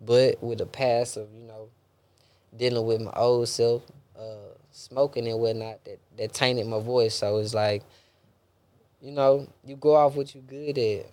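A man's voice: medium pitch, steady tone, unhurried, a little mellow. A young adult man, a gospel rapper talking loosely in a casual interview, rambling and dropping bro and man often.

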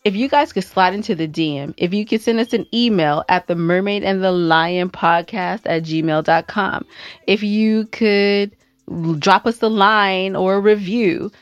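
A woman's voice: mid-range voice, a little slow, smooth, no room sound, lo-fi sound. A woman co-hosting a podcast with casual, joking banter and a playful, teasing manner. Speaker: podcast co-host.

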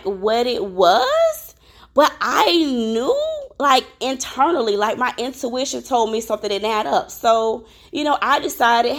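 A woman, hosting her own podcast in a chatty, upbeat way, with a slightly crackly, worn voice. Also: on the high side. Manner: chatty and upbeat, addresses listeners directly, many fillers. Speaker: podcast host. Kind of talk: casual solo podcast chat.

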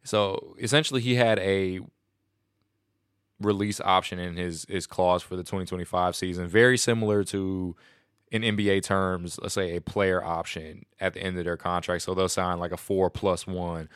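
A man hosting a casual solo podcast, excited and rambling, a little nasal and sniffly from a cold. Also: deep voice, normal speed, smooth, no echo, studio quality. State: congested from a stomach bug. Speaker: podcast host.

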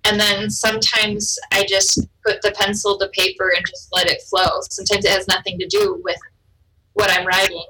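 A woman's voice: medium pitch, little pitch movement, moderate pace, bright, forceful tone, far from the mic, low-quality recording. A young adult woman whose first language was German, chatting casually as an interview guest about her writing and art, laughing often and restarting her sentences. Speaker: author and artist, interview guest.

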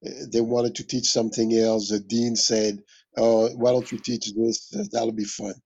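Husky, deliberate man from West Africa. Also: mid-range voice, darkish tone, dull audio. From West Africa (Mali). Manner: deliberate, scholarly, repeats phrases for emphasis. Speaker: interviewed jazz musician and music history teacher. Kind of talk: reflective interview talk, explanatory.

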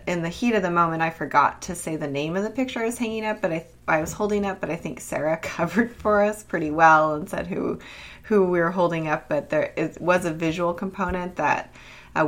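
A woman in her twenties co-hosting a casual podcast from a rented apartment, relaxed and quick to laugh. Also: on the low side. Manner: laughs often, relaxed, fillers. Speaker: podcast co-host.

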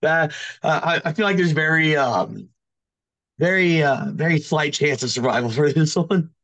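A man's voice: on the high side, normal speed, fairly bright tone, no room sound. A man chatting casually as a podcast guest, telling personal stories in a rambling, hesitant way peppered with "like". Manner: rambling, heavy use of "like", hesitant. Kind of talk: casual chat, personal storytelling.

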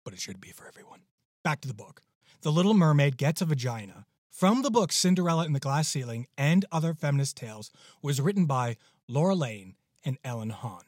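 A man's voice: medium pitch, lively intonation, a little fast, a little mellow, dry recording, studio quality. A man hosting a podcast, giving an enthusiastic introduction in an effusive, emphatic way. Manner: effusive, emphatic with repeated words. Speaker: podcast host.

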